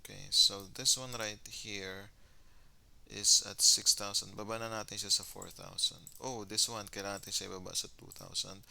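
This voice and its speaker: Filipino male gamer, low creaky voice, clean and crisp, casual thinking-aloud commentary in mixed Tagalog and English. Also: flat delivery, moderate pace, pushed and bright. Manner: mixes Tagalog with English phrases. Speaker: gamer narrating his own gameplay.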